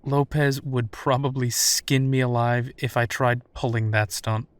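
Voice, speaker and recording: A man's voice: medium pitch, steady tone, measured pace, slight background noise, natural voice. A man playing a wry, foul-mouthed werewolf private investigator in a roleplay audio drama, talking casually. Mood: wry, teasing.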